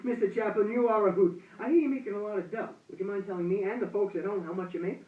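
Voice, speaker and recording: A man's voice: high voice, quick pace, narrow, tinny audio, lightly acted. A young man playing a gushing, folksy host in an amateur 1920s radio broadcast. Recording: roomy, distant-miked amateur recording, thin sound.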